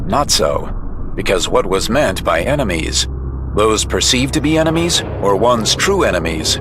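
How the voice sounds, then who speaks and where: Lower-pitched dramatic male narrator over music. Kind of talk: dramatic scripted narration of comic-book adventure.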